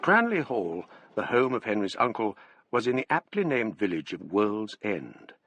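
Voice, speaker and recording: An actor playing a scholarly Englishman recounting a gothic tale from his past, in measured, formal radio narration with an English accent. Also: lowish voice, big pitch swings, a bit bright, no room sound, muffled recording. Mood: measured, wry. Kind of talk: first-person literary radio narration.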